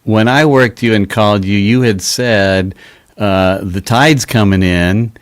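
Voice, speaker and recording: Casual livestream host, male, smooth low voice, very dry close sound. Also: measured pace, no echo. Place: live video stream.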